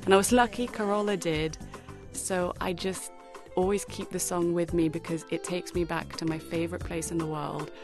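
A woman's voice: lowish voice, fairly even intonation, moderate pace, darkish tone, faint music behind. A woman from Kenya with a British and Kenyan accent, a radio producer telling personal stories in a scripted segment.

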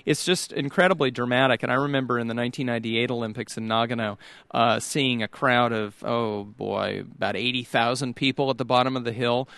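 A man's voice: medium pitch, fairly even intonation, fairly quick, a bit bright, smooth, no room sound. A man speaking as a sports correspondent in a radio conversation, rambling with lots of fillers and restarts.

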